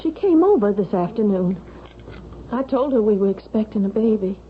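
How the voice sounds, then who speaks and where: An actress playing an earnest young married daughter in a vintage radio drama, speaking emphatically. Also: female voice, mid-range voice, expressive, moderate pace, slight background noise, close to an everyday voice. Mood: worried. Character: earnest, defiant young married daughter, loyal to her husband. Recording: dull vintage radio recording.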